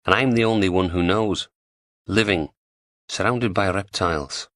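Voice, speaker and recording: Deadpan male psychologist, flat Liverpool accent, measured delivery, as a man puts him on. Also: sits low, no echo.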